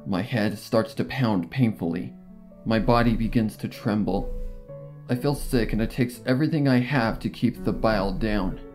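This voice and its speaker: A man's voice: fairly low pitch, moderate pace, a little mellow, soft background music. A man giving an intense, dramatic first-person narration of a scripted story.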